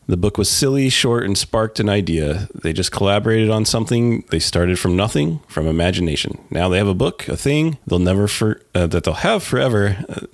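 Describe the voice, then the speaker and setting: Male podcast host, low voice, close dry recording, conversational and somewhat halting. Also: no room sound. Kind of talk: casual podcast interview.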